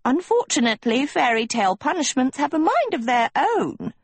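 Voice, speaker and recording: A woman's voice: high-pitched, very animated, normal speed, husky, no room sound, voice pushed up, exaggerated voice. A woman voicing a stern, pompous male gnome teacher in an audiobook, with formal, declamatory delivery. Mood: dismissive.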